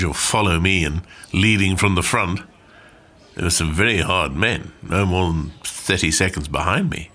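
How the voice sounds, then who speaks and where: An actor in a radio play monologue as an old, plainspoken war veteran and glider pilot, reminiscing conversationally with dry understatement. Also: male voice, lowish voice, pushed and bright, exaggerated voice. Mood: modest, candid. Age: older.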